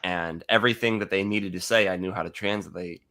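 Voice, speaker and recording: A man's voice: deep voice, steady tone, a little fast, vocal fry, pushed and bright. An American man from California chatting casually as an online host, rambling and jokey, with profanity. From California, United States. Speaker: livestream host.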